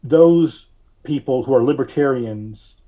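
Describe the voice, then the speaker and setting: A man giving measured, carefully worded explanations as a podcast guest. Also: mid-range voice, phone-quality sound. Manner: measured, deliberate, precise wording. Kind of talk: explanatory interview talk.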